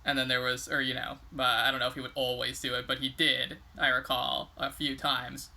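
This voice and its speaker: A man co-hosting a podcast, chatting casually in a halting, self-correcting way. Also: medium pitch, little pitch movement, gravelly, sharp tone. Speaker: podcast co-host. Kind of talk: casual chat.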